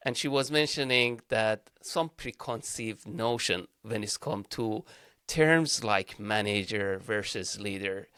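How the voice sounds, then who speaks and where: Male co-host, native Farsi speaker, dry close sound, halting with many "uh"s. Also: fairly low pitch, sharp tone, clean tone, no echo. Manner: hesitant, with frequent "uh" and non-native grammar. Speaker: podcast co-host. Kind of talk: interview question.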